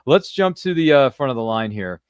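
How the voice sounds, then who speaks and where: A man's voice: mid-range voice, expressive, brisk, smooth, dry recording. A man hosting a live podcast in a casual, slangy, jokey way. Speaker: podcast host. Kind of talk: casual live show chat.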